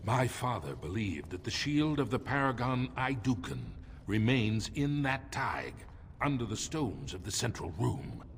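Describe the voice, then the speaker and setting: Old dwarf monarch, fantasy game, deep voice, commanding, solemn, long reverb, light ambient noise. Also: male voice, sing-song, measured pace. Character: stern old dwarf king and father.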